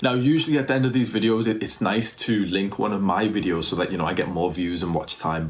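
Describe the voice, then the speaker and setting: Deep-voiced man, earnest pitch. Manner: earnest, repetitive, pushy sales talk. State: strained, tired throat. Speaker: fitness YouTuber and diet coach. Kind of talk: casual vlog monologue.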